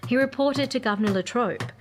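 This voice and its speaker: A woman giving clear, informative, scripted historical narration in a radio play series. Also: on the high side, brisk, a little hiss or hum.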